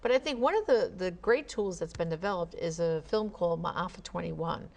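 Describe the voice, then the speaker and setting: Woman co-host, fairly low pitch, animated anecdotal talk with many "you know"s. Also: normal speed, vocal fry. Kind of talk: talk show discussion.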